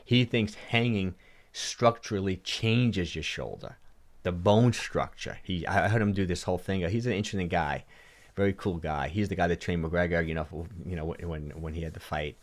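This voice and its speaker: A man's voice: low-pitched, normal speed. A man in his late fifties hosting a casual fitness livestream Q&A from a home studio, rambling and restarting his sentences. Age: 50s. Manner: rambling, repeats words and restarts often. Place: home studio with purple lighting. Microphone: studio mic on boom arm.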